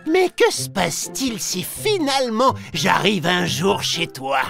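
Here is a boastful little cartoon virus, teasing children with theatrical glee. The delivery is unhurried and swings widely up and down, with a creaky edge. Soft music plays behind. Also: female voice, medium pitch, huge pitch swings, heavily acted. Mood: sly, playful. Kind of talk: animated educational narration for children.

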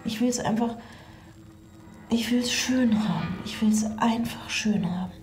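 A woman's voice: fairly high pitch, unhurried, over loud music. An actress in a radio drama playing an anxious, overwhelmed mother who broods and often drops to a whisper. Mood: overwhelmed, brittle. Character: anxious, overwhelmed woman, a stressed single mother. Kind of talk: radio drama inner monologue.

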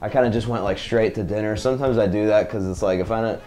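A man's voice: on the low side, quick pace, a little mellow, faint music behind. A young man chatting casually to his viewers while cooking in his home kitchen, loose and slangy, on a camera mic by the stove. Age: young adult. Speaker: vlogger.

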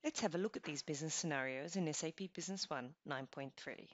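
Low-voiced woman narrating a software demo. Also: quick pace, no room sound. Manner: even, instructional, step-by-step. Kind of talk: scripted screencast narration. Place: voice-over over screen recording. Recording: dull lo-fi voice-over.

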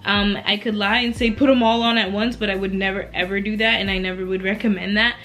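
A woman in her early twenties chatting casually through a Q&A vlog while lying back on her bed at home, relaxed and laughing often between answers. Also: medium pitch, fast talker. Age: 20s. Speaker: beauty and lifestyle YouTuber.

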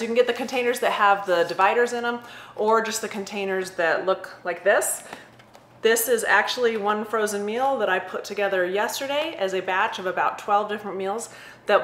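A woman's voice: mid-range voice, a bit bright, slight background noise. A woman in her forties giving casual, practical meal prep advice to camera from her home kitchen. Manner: conversational, practical, upbeat. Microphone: webcam or laptop at close range. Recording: echoey room sound.

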